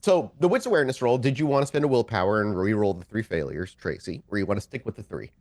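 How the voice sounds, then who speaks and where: A man's voice: fairly low pitch, lively intonation, quick pace, a little mellow. A man acting as game master, mixing improvised narration with casual, lightly profane table chat.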